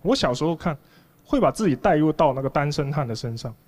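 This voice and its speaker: A man's voice: a little high, animated, quick pace, a little mellow. A man in his thirties performing a stand-up comedy monologue on a studio stage, telling stories conversationally with mock indignation into a handheld microphone. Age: 30s. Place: large TV studio stage with audience. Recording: clear audience noise behind the voice. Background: audience noise.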